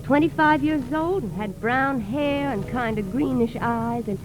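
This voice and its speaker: An American actress playing a chatty, easily flustered lunch counter waitress in 1940s radio drama style. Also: female voice, sits high, a little slow, poor audio. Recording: old 1940s radio broadcast, music behind.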